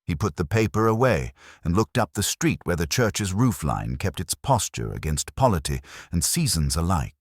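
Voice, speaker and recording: A man narrating a literary mystery story in a measured, wry, formal manner. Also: low voice, sing-song, husky, dry recording, clean studio sound.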